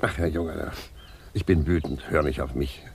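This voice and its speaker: An actor playing a wry, defiant old retired judge in a radio drama, musing ironically. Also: male voice, rumbling low, steady tone, normal speed, vocal fry, a little mellow. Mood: indignant, musing. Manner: musing, ironic, chuckling rhetorical questions. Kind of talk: 1950s German radio drama acting. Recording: noisy 1950s radio recording.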